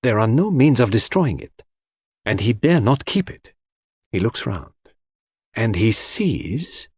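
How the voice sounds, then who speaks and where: A man voicing a clever, confident male detective in an audiobook, explaining his deductions in a deliberate, theatrical way. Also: mid-range voice, big pitch swings, breathy edge, no room sound, studio quality, exaggerated voice. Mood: dramatic, suspenseful. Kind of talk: audiobook character dialogue.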